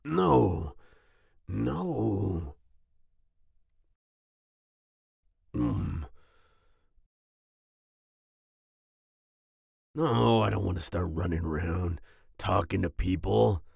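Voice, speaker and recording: A man playing a big, grumpy werewolf boyfriend with a growling, husky voice and a soft side, in an ASMR roleplay. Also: low-pitched, animated, no room sound, clean studio sound, full character voice. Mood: grumpy, sulking. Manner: grumbling, slow, with grunts and growls.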